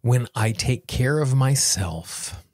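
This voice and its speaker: A man hosting a self-help podcast, coaching listeners directly in measured, deliberate phrases. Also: medium pitch, lively intonation, darkish tone, no echo, clean studio sound. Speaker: podcast host and tapping coach.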